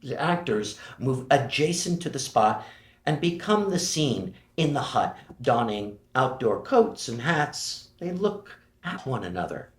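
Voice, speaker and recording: A man narrating screenplay directions at a table read, measured and matter-of-fact. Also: medium pitch, big pitch swings. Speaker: narrator reading stage directions. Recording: roomy echo.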